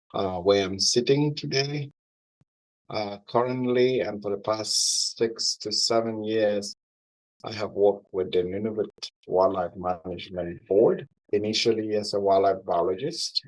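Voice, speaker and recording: A very deep-voiced man addresses a class as a guest speaker. He talks slowly and formally, pausing often between his words. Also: rough recording. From Cameroon. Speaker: guest speaker, wildlife manager.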